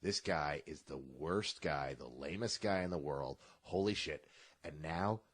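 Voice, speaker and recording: A man's voice: rumbling low, unhurried. A man co-hosting a podcast, talking in a casual, profane, opinionated rant. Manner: animated, profane, opinionated rants. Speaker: podcast co-host. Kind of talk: casual chat.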